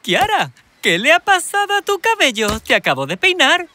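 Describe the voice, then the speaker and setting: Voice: high voice, huge pitch swings, normal speed, pushed and bright, full character voice. An actor voicing a flamboyant, kindly male hairdresser in a children's cartoon, with fussy Castilian Spanish delivery. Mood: concerned, reassuring. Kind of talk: animated children's cartoon dub.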